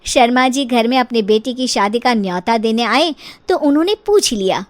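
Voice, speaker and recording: A woman narrator reading a scripted comic story for an animated video. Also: fairly high pitch, moderate pace.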